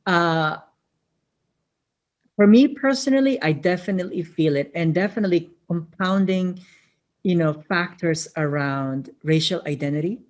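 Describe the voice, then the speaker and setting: A webinar presenter with a Filipino accent, talking in an earnest, reflective way and often checking in with "right?". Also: male voice, fairly high pitch. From Filipino-American family background. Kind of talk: conversational webinar talk.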